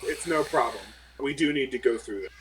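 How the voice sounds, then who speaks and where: A man's voice: mid-range voice, a little fast, a little hiss or hum, pitched below the performer's own voice, close to an everyday voice, poor audio. A man voicing a talkative, reassuring female marshal in a tabletop game, with a rambling, placating manner. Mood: soothing, casual.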